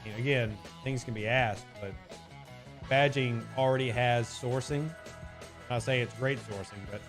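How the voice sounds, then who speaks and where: A man's voice: medium pitch, moderate pace, over music. A man streaming live and answering chat questions in a casual, rambling way, often hedging with "I mean" and repeating his points.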